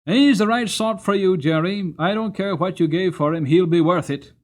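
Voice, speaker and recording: A man's voice: high-pitched, moderate pace, voice pushed up, lightly acted. A man voicing a jolly, grey-haired senior cabman with a bluff, decisive manner in a storybook reading. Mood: hearty approval.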